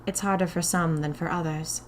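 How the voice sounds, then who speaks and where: A woman's voice: lowish voice, steady tone, moderate pace, a little hiss or hum, natural voice. A woman voicing a reserved, kindly young man of a secret magical society in audio fiction, polite, hesitant and earnest. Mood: guarded, sad.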